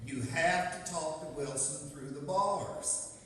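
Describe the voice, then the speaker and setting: A man's voice: a little high, slow, a bit bright, a little hiss or hum. A man playing a businesslike prison guard in an old-time radio drama. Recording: distant, echoey hall, music behind.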